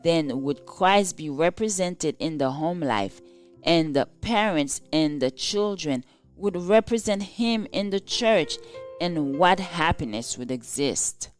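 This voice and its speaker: A woman reading a scripted religious devotional in a measured, solemn and formal manner. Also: low voice, animated. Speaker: devotional reader. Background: soft instrumental music.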